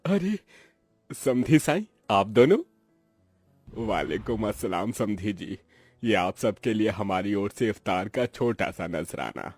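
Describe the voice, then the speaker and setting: A man's voice: fairly low pitch, expressive, takes it slowly, a little mellow, no room sound, faint music behind, heavily acted. A man voicing a poor, proud and pious older uncle in a sentimental cartoon drama, speaking earnestly. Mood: polite, reserved. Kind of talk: melodramatic cartoon voice acting.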